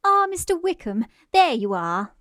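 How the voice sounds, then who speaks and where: A woman's voice: sits high, sing-song, moderate pace, fairly bright tone, no room sound, studio quality. Actress as lilting, demure young lady. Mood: polite. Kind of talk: period stage acting for radio play.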